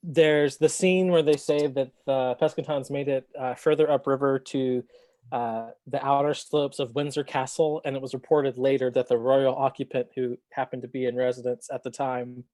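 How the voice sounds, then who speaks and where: A man chatting casually as a podcast panelist about a book, in a hesitant, low-key manner. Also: little pitch movement, normal speed, mellow. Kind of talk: casual book-club discussion.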